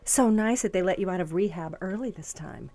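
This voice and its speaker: A woman's voice: fairly low pitch, fairly quick, dark tone, soft background music, lightly acted. A woman playing a smug, venomous, sycophantic daughter in an audio drama, condescending with theatrical false sweetness. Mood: gloating sweetness.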